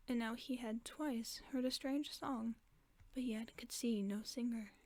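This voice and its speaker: A woman reading a fairy tale aloud in a slow, hushed whisper, as a sleep-aid narrator. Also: fairly high pitch. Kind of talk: soft whispered bedtime story reading.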